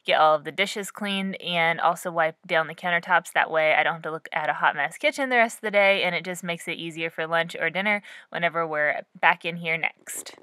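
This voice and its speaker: A woman's voice: on the low side, a little fast, few pauses, bright, forceful tone, no echo. A young woman narrating her home cleaning routine in a friendly, explanatory voice-over. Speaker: home vlogger.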